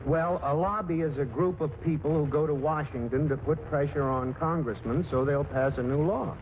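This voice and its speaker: A man's voice: on the high side, moderate pace, close to an everyday voice. An American man playing a mild, distracted, henpecked father in a 1940s radio comedy. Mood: amused, wry. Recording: noisy, tinny 1940s radio recording.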